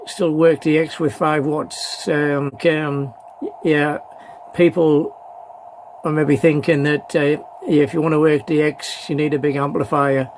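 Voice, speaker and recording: Man, middle-aged or older, slightly higher-pitched, halting, repetitive, casual vlog talk, faint noise behind. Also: level tone. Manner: halting, hesitant, repeats phrases. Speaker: amateur radio hobbyist presenting a video. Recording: clearly noisy background.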